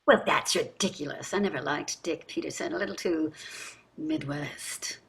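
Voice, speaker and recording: An actress in a staged play reading, playing a wealthy older wife who is defensive, wry and restless. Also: female voice, deep voice, normal speed, vocal fry, fairly bright tone, husky, full character voice. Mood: prickly. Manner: emphatic, prickly, wry.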